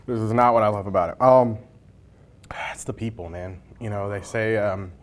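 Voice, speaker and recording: A man's voice: on the low side, normal speed. A man hosting a casual, jokey interview with informal, colloquial phrasing. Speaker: interview host, library information desk worker. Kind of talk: casual chat during a hot sauce challenge interview.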